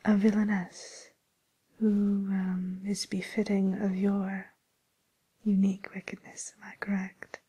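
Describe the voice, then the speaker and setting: A woman playing a polite, attentive receptionist at a fairy-tale dating agency in a soft, breathy, hesitant whisper, as an ASMR roleplay. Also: mid-range voice, monotone, takes it slowly.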